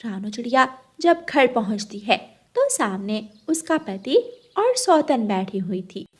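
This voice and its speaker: A woman's voice: a little high, sing-song, unhurried, breathy edge. A woman narrating a scripted cartoon story.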